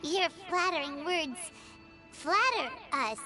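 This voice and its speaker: Tiny, very high-pitched fairy companion. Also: female voice, lively intonation, slow pace, exaggerated voice. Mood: flustered, chatty. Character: small childlike floating fairy companion, chirpy and excitable. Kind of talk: video game voice acting. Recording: music behind the voice.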